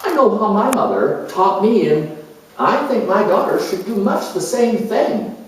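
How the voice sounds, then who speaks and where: A man's voice: high-pitched, expressive, a little slow, voice pushed up. Man acting a fussy, doting homemaker mother, echoey. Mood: fretful. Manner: effusive, cosy, hesitant and confiding. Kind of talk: solo character dramatization for an astrology talk. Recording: very echoey room, distant microphone.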